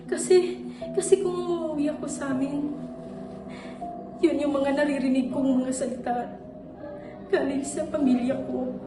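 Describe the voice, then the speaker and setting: Brief: young woman as a worn-out student in despair, high voice, recorded from afar in an echoey room with music behind. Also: fairly even intonation, recorded from a distance. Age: young adult. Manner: halting, repeats her words, trails off. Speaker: student actor. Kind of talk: stage monologue.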